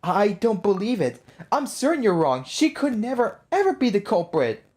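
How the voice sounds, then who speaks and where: A woman's voice: mid-range voice, very animated, normal speed, darkish tone, lightly acted. A woman voicing a lovelorn, stubbornly loyal husband from a video game in a dramatic, defensive manner.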